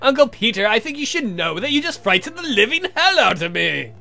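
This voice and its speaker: A courtly vampire count acted by a man from a comic horror game, theatrical and exasperated. The voice is bright and high for a man, delivered with formal, dry wit over faint music. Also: very animated, a little fast, gravelly, full character voice. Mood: unnerved. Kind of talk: visual novel character voice.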